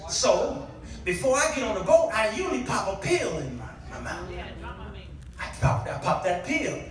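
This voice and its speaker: Animated high-pitched male preacher in echoing hall. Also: moderate pace. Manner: dramatic, repeats punchlines, acts out dialogue. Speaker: guest preacher. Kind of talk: animated sermon with repetition and call-and-response. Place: church sanctuary before a responding congregation. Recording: very echoey hall, distant microphone.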